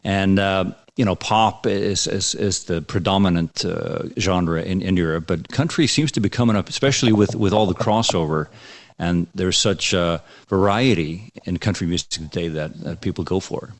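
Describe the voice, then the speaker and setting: A low-voiced man from Iceland answers a host's questions. He talks in a relaxed, unhurried way, stalls on small words and repeats himself as he gathers his thoughts. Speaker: country singer guest. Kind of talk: interview answers.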